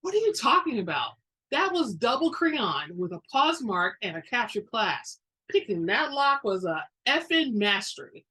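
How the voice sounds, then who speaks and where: A woman reader acts out a storybook thief, a bold and sure-of-herself woman. She speaks bluntly and with emphasis in a husky voice. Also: a little high, very animated, normal speed, pushed and bright, voice pushed up, heavily acted, low-quality recording. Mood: indignant. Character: brash, confident female burglar with keen nose.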